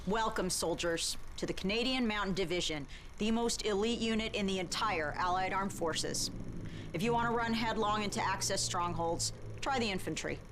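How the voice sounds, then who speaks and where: A woman playing a cool, focused sniper officer in a game, giving a measured, intent briefing. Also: medium pitch, background noise, lightly acted. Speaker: military division instructor.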